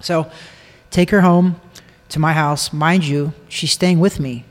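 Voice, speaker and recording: A man's voice: a little high, steady tone, slow pace. An American man telling a personal story in casual conversation, repeating phrases for emphasis. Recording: long echoing reverb.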